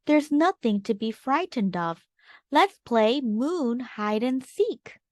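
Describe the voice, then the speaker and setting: Lady playing a tiny friendly alien, soft and kind, high voice, picture book reading. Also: animated, slow, no room sound, clean studio sound. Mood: reassuring. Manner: gentle, reassuring.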